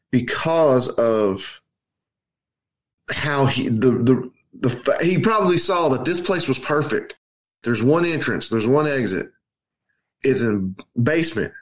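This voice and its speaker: A man's voice: mid-range voice, lo-fi sound. A man co-hosting a true crime podcast, who speaks bluntly and swears often as he reasons through the case. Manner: blunt and profane, emphatic repetition. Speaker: podcast co-host. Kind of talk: casual podcast chat.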